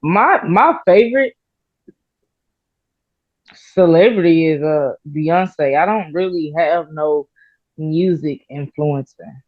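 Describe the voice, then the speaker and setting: A woman rapper answering interview questions in a halting, soft-spoken way, with many fillers and pauses. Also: deep voice, no room sound. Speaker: rapper guest. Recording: slightly dull, muffled audio.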